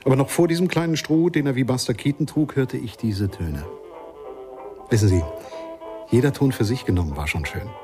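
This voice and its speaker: A man's voice: mid-range voice, a little fast, mellow, soft background music, natural voice. An actor playing a thoughtful jazz witness in a radio play, reflective and often correcting himself as he searches for words.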